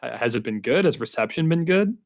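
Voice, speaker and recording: A man speaks as a podcast host in a casual interview. His voice sits at an ordinary middle pitch, and he chats conversationally with his guest. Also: lively intonation, a little fast, gravelly.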